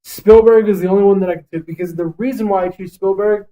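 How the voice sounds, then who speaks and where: A man's voice: sits high, fairly even intonation, fast talker, warm tone, poor audio. A man co-hosting a casual podcast, talking in a blunt, foul-mouthed and emphatic way as he gives his opinions. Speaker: podcast co-host. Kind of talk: casual podcast chat, ranking list.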